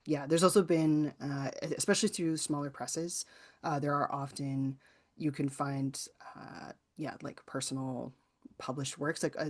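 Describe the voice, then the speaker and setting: A woman presenting as a guest author, answering workshop questions in a thoughtful, tentative, conversational way. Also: low voice. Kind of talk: informal workshop Q&A.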